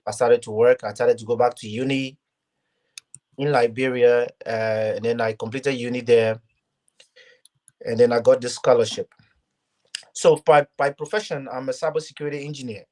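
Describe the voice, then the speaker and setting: A man's voice: mid-range voice, steady tone, dark tone. A young man from Ghana, talking earnestly as a guest in a casual interview, with frequent restarts. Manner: earnest, halting, many restarts.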